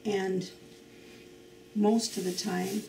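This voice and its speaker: An older woman showing how to cook in her home kitchen, explaining each step in a slow, homely way. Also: medium pitch, level tone, gravelly, far from the mic, noisy background. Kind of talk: cooking demonstration.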